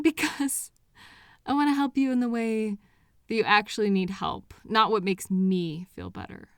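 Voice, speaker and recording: A woman's voice: medium pitch, a bit bright, dry recording, studio quality. A woman playing a loving, patient girlfriend in an intimate comfort roleplay, speaking to her anxious partner in a tender, reassuring manner. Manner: tender, reassuring, unhurried pauses with sighs. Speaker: audio roleplay performer.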